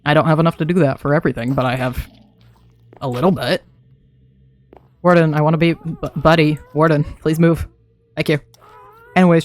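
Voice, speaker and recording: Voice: mid-range voice, darkish tone. A young adult streamer chatting casually to themself while playing a game, laughing often, throat a little dry. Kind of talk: casual live gameplay commentary.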